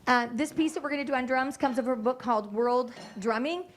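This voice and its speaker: A woman's voice: high voice, a little hiss or hum. A woman music teacher explaining a piece and directing her students in a meeting room. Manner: brisk, directive teacher talk. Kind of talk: classroom instruction to students. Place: school board meeting room.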